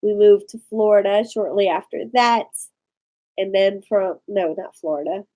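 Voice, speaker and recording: Rambling, chatty Maryland woman, mellow voice. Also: medium pitch, a little slow. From Maryland, USA. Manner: rambling, chatty, talks to live viewers. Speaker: vlogger. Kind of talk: casual live-stream chat.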